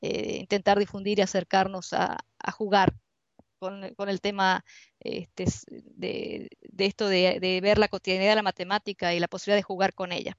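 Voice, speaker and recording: A woman's voice: mid-range voice, little pitch movement, normal speed, no echo. A woman with an Argentine accent, a university researcher giving an online seminar presentation in a conversational way, searching for words as she goes. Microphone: computer mic on a video call. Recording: dull lo-fi online call audio.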